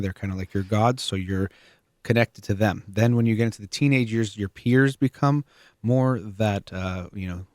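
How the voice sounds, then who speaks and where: Low-voiced man hosting a discussion. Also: a little mellow, dry recording, clean studio sound. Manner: thoughtful, explains terms for listeners. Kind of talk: conversational discussion.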